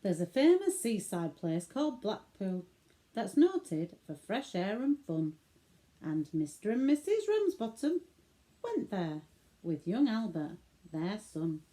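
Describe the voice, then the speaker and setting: A woman with a Northern English accent recites comic verse as an expressive, theatrical bedtime storyteller for children. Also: medium pitch, rough recording. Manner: theatrical, deliberate delivery.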